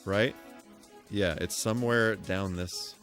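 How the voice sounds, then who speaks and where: Male game streamer, low register, loose rambling thinking-aloud chat over background music. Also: fairly even intonation, slow, a bit bright. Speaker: video game live streamer. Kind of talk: casual live gameplay commentary and chat. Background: music behind the voice.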